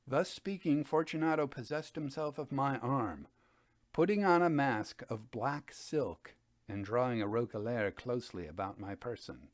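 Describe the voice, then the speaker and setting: A man giving a dramatic reading of gothic fiction, with theatrical, deliberate phrasing. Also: mid-range voice, lively intonation, no echo. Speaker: storyteller reading stories by request.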